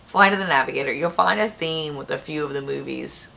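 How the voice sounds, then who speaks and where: A young woman with a raspy voice, chatting casually and gushing about her favorite movies, thinking aloud as she goes. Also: sits low, brisk, sharp tone, a little hiss or hum. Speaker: vlogger.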